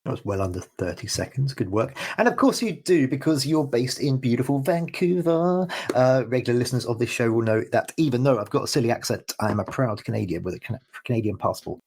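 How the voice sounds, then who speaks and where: Male podcast host, mid-pitched and a bit breathy, jovial and rambling. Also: animated, brisk, hardly any pauses, darkish tone. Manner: jovial, chatty, rambling questions. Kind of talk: casual interview chat.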